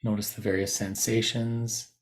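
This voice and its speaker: A man guiding a body scan meditation in a soothing, unhurried manner, leaving long pauses between short phrases. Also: lowish voice, little pitch movement. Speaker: meditation guide. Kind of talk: guided body scan meditation, unscripted instruction.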